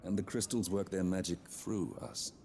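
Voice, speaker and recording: An actor playing a kindly, solemn noble father and ruler in a video game drama, measured and reassuring. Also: deep voice, steady tone, mellow, slight background noise.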